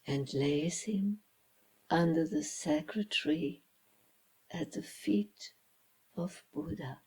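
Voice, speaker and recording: A woman reading a children's story as its author, in a dramatic storybook style with hushed, breathy phrases and deliberate pauses. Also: fairly low pitch, very animated. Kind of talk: slow dramatic storybook narration.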